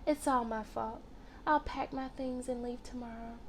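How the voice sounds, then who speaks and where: A woman voicing a sweet, wise young nanny in a storybook, gentle, earnest and expressive. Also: a little high, moderate pace, a little hiss or hum, natural voice.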